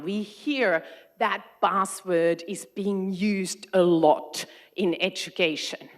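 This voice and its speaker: A woman with a Finnish accent speaks to a big conference audience. Her pitch is in a middle range, and she sets the room small tasks in a friendly, engaging way. The tone is that of a keynote speaker. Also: a bit bright. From Finland. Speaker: keynote speaker, education consultant. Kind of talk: conference talk to a large audience. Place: large conference hall.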